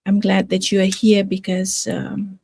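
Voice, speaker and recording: A middle-aged woman from Fiji hosting a casual motivational livestream, talking in a halting, reflective way and drawing out words for emphasis. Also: mid-range voice, monotone, gravelly, darkish tone, breathy edge. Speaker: livestream host, nurse and online business owner.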